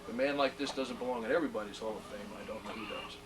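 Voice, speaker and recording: A man's voice: lowish voice, racing. A middle-aged man presenting at a hall of fame induction, genial, with joking asides, reading aloud from a plaque. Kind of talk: ceremony speech. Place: ceremony hall. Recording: distant mic, noisy room.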